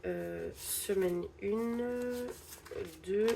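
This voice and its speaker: Slow, mellow woman with a cold. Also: medium pitch, wildly swooping pitch, slight background noise. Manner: slow, hesitant, counting bills aloud. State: stuffy head cold. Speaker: budgeting channel host. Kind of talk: casual envelope-budget vlog narration.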